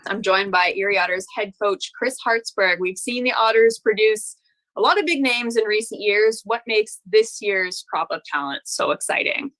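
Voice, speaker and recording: A woman's voice: mid-range voice, moderate pace, fairly bright tone, no echo, low-quality recording. A woman interviewing a guest with prepared questions. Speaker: team video interviewer.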